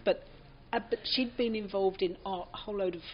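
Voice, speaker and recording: A woman's voice: mid-range voice, fairly even intonation, brisk, over a noisy crowd. Older British woman reminiscing, muffled recording. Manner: anecdotal, reflective, acts out reported speech. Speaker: interviewee. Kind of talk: reminiscing in an oral history interview.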